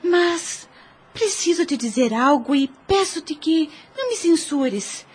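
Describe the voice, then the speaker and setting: A woman's voice: high voice, animated, takes it slowly, a little mellow. A woman with a Brazilian accent acting an affectionate, concerned younger sister with earnest, formal diction in an audio drama. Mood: earnest, hesitant.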